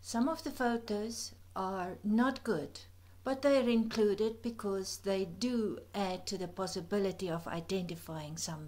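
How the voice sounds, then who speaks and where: A woman reads a scripted voice-over at an unhurried, drawn-out pace. Her delivery is gentle and reflective, slipping now and then into soft, breathy near-whispers. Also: medium pitch. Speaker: narrator of a birding slideshow. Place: voice-over recorded apart from the pictured outdoor scenes.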